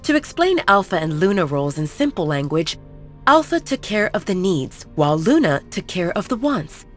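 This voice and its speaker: A woman narrating a romance audiobook at a steady storytelling pace. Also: on the low side, normal speed. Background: soft music.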